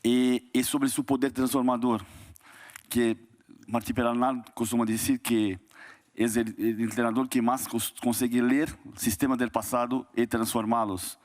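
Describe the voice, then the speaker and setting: Brazilian man, mid-pitched, halting questioner. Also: flat delivery. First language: Portuguese. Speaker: sports journalist. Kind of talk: asking a press conference question. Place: indoor press conference room.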